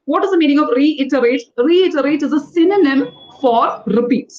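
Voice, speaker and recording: Woman, trainer, high voice, patient corrective teacher, muffled lo-fi sound. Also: lively intonation, moderate pace, a little mellow. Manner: patient, corrective, spells words out letter by letter. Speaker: English trainer. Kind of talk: one-on-one English lesson.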